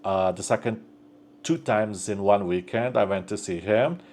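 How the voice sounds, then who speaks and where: A man's voice: on the low side, unhurried, a little mellow, slight background noise. A young man chatting casually on his vlog, rambling with lots of fillers and restarts. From Bosnia and Slovenia region, raised in North America from thirteen. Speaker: vlogger. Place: at home, working on a build project.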